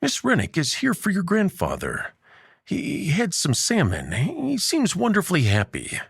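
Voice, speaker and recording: A man's voice: high-pitched, wildly swooping pitch, unhurried, gravelly, slightly rough, no room sound, clean studio sound, pitched above the performer's own voice, full character voice. A man voicing a jovial, towering, very old doctor in a story, genial and grandly pronouncing. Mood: warmly congratulatory.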